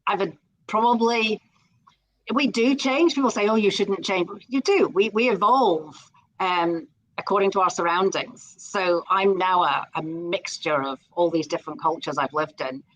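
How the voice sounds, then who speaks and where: A middle-aged woman with a Scottish accent and a lowish voice talks in a live online interview. She is eager and conversational, often tripping over repeated words as she gets her thoughts out. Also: moderate pace, a bit bright, rough recording. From Scotland. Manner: enthusiastic, repeats words when starting sentences. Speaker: guest.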